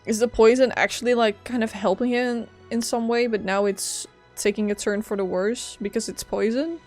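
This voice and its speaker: A woman's voice: fairly high pitch, steady tone, normal speed, a little mellow. A woman game streamer giving casual commentary, informally reacting aloud as she plays. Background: game music.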